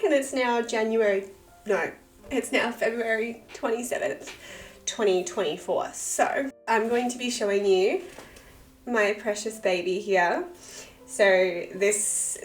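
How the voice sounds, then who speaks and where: A woman, husky from a cold with a bit of vocal fry, chatting casually and self-deprecatingly through a sketchbook tour. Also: fairly high pitch. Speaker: artist and channel host.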